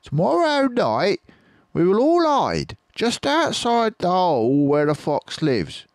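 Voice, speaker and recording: A man's voice: high voice, huge pitch swings, takes it slowly, no room sound, studio quality, pitched above the performer's own voice, exaggerated voice. A man voicing a crafty, mean, lean farmer in a storybook, curt and bossy as he schemes. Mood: scheming, bossy.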